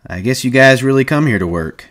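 A man voicing a talkative, excitable ranch hand in an audiobook, with brash, folksy rural chatter. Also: mid-range voice, brisk, voice pushed up, lightly acted. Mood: knowing, teasing.

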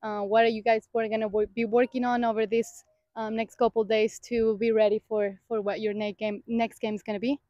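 A young woman hosting a post-game interview on a soccer field at night, asking friendly, halting questions. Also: on the high side, flat delivery, mellow, dry recording, highs cut off. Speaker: sports information director interviewer.

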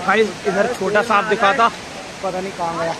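A young man with a high-pitched voice chats informally to his viewers and companions. He sounds excited as he narrates a trail outing. The phone recording is outdoors, with clear noise of rushing water. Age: 20s. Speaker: vlogger. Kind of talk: casual vlog commentary to friends and viewers. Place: outdoors on forested rocky trail near waterfalls. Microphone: phone at arm's length.

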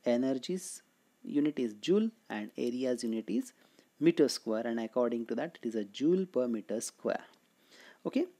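Indian accent guy doing a physics lecture, mellow tone, pretty animated pitch, asks stuff then answers it. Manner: didactic, asks and answers own questions. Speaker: physics teacher. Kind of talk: explanatory lecture.

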